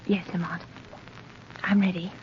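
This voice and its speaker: A woman's voice: fairly low pitch, little pitch movement, unhurried, close to an everyday voice. A woman playing a frightened but loyal lady companion of a sleuth in 1930s radio drama, urgent and anxious. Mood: uneasy. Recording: very noisy, muffled 1930s radio recording.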